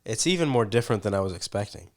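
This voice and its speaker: A man's voice: fairly low pitch, big pitch swings, racing, darkish tone. A man in his twenties co-hosting a casual podcast, nasal from a cold, joking and teasing dryly. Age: 20s. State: recovering from a cold. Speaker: podcast co-host. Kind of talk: casual chat.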